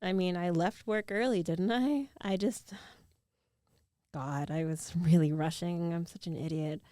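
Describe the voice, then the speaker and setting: A woman playing a concerned, teasing young ex-girlfriend in an intimate ASMR roleplay, speaking softly and haltingly into a close microphone. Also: lowish voice, dry recording, natural voice. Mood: apologetic, worried.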